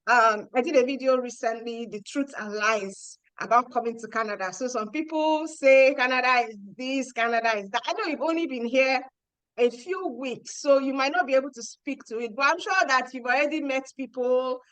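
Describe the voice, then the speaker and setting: A woman hosts a casual interview, asking long, chatty, rambling questions. Her voice sits fairly high. It sounds dry and close, with no room echo. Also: normal speed, fairly bright tone. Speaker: host, interviewer.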